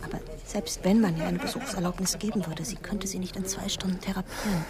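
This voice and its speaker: A woman's voice: mid-range voice, steady tone, brisk, a loud crowd, close to an everyday voice. Earnest, urgent woman psychologist played by an actress. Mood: secretive, anxious. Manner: hushed, urgent, breathy. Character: earnest, devoted female psychologist, anxious and defiant. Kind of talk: radio drama acting.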